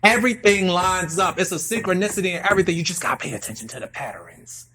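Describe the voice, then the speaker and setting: A man's voice: sits high, animated, quick pace, pushed and bright. A man in casual, self-promoting chat, talking in hype slang and repeating phrases for emphasis. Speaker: playwright and performer.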